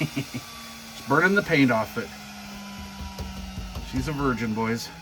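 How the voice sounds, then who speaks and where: A man giving a casual hands-on demo as a hobbyist host, folksy and thinking out loud. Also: medium pitch, animated, takes it slowly. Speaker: hobbyist host demonstrating a homemade power supply. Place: workshop bench.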